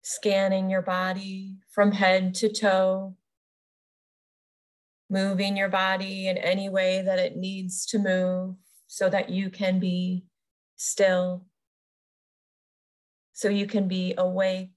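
A woman leading a guided meditation as a yoga nidra teacher, soothing and unhurried, pausing between short phrases. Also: mid-range voice, monotone.

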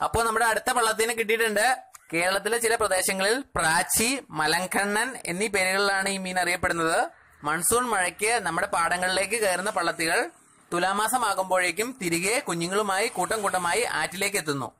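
A man's voice: sits high, fairly even intonation, a little fast, pushed and bright. A young man from Kerala, host of a fishing channel, narrating a scripted voice-over in an informative, explanatory way. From Kerala, India.

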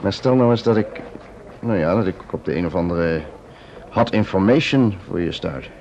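A man's voice: low-pitched, animated, normal speed, vocal fry, darkish tone, slight background noise. A man playing a breezy, joking middle-aged friend of a detective in a vintage Dutch radio drama. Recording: muffled old radio recording, music underneath.

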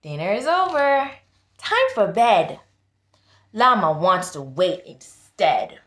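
Very slow, expressive woman reading to children. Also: medium pitch. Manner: expressive, deliberate, prompting listeners with questions. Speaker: tutor reading aloud to young children. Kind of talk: children's picture book read-aloud with teaching asides. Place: small plain-walled room indoors. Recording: echoey small room.

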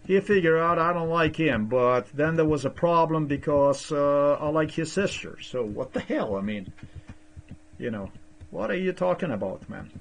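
A man's voice: on the high side, normal speed, creaky. A middle-aged man, not a native English speaker, rambling hesitantly with many fillers and restarts, recorded on a desk webcam in a small room. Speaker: vlogger. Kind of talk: rambling screen-share commentary.

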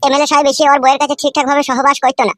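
Man as high-pitched, whiny, hapless village son. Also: flat delivery, very fast, fairly bright tone, dry recording, clean studio sound. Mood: defensive. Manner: whiny, melodramatic pleading. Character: hapless, pleading young village son, excuse-making womanizer. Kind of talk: comic cartoon dialogue. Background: faint music.

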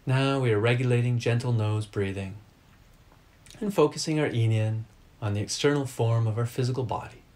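A man leading a guided meditation as a teacher, giving calm, deliberate instructions in short, measured phrases. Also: mid-range voice.

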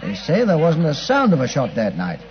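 A man's voice: fairly high pitch, wildly swooping pitch, normal speed. A man with a British accent playing a practical, puzzled police detective in a 1950s radio drama, plain-spoken and matter-of-fact. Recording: muffled, noisy 1950s radio recording.

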